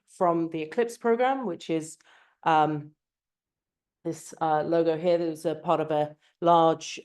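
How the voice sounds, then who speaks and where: A woman's voice: deep voice, darkish tone, smooth. A woman from England, a postdoctoral researcher, giving an academic seminar talk in a hesitant way, with many ums and uhs. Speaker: guest speaker, postdoctoral researcher.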